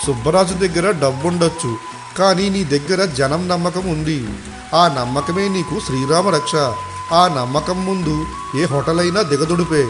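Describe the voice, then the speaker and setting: A man's voice: fairly high pitch, moderate pace, over music, close to an everyday voice. A man voicing a dignified, kindly older retired village headmaster in a moral story. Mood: approving, benevolent. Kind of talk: acted dialogue in a moral story.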